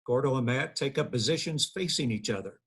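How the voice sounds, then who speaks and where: A man's voice: mid-range voice, brisk, fairly bright tone, slightly rough. A man reading out stage directions as scripted narration at a table read over video call.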